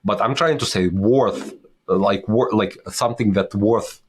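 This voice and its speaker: A low-voiced man. He co-hosts a relaxed business talk, stopping and restarting words as he works his way through a point. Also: husky. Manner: halting, restarts words, heavy accent. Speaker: podcast co-host. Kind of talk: casual business chat.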